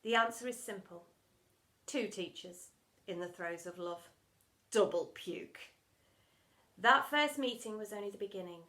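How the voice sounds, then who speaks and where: A woman reading a children's story aloud in an animated, theatrical storytelling style. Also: mid-range voice, a little slow, pauses often, roomy sound. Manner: expressive, theatrical delivery with acted sound words.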